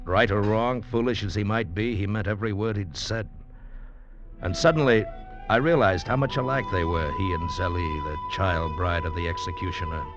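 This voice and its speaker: Man as a very deep-voiced, brooding radio narrator. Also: moderate pace, over music, lightly acted. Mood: grave, brooding. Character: grave male convict barber narrating his own tale. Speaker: first-person narrator. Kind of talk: dramatic 1940s-50s radio narration. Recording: dull vintage radio recording.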